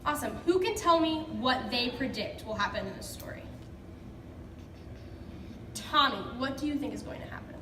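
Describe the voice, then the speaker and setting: A woman's voice: high-pitched, lively intonation, moderate pace, long pauses. A woman teacher leading an interactive picture-book read-aloud for children, encouraging and prompting with questions. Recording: echoey room, distant mic, background noise.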